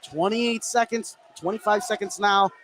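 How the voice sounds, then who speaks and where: A man with a fairly high voice calls a live basketball game for radio. There is clear noise from the gym around him. His delivery is that of an excitable play-by-play announcer. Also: moderate pace. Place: indoor school gym. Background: gym ambience and noise.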